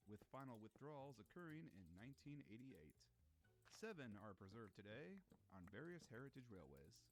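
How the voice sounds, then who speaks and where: A man's voice: medium pitch, a little hiss or hum, low-quality recording. A man reading scripted documentary-style narration in an enthusiastic, emphatic explainer manner.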